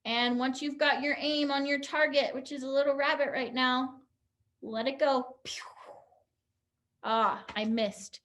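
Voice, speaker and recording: A woman's voice: high voice, fairly even intonation, a little slow, a bit bright. A young woman teacher leading a pantomime activity online, upbeat and encouraging as she explains each step.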